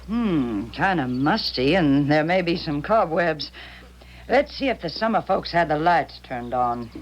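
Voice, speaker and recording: A woman's voice: low-pitched, animated, measured pace. An actress playing a tough, grasping old American country woman in a radio drama, with blunt, folksy, drawling speech. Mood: folksy, matter-of-fact. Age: older. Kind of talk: 1970s radio drama acting. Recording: noisy old radio broadcast recording.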